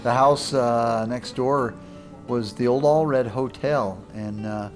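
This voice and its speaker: Mid-pitched man chatting over guitar music. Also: fairly even intonation, slow pace. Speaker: interviewee, co-producer of a radio show. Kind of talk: casual storytelling interview.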